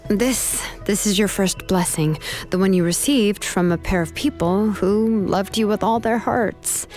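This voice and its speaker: An actress playing a calm, reassuring young engraver and mentor in a video game story scene. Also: female voice, mid-range voice, close to an everyday voice. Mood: gently reassuring. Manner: calm, unhurried, gently reassuring. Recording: music under the voice.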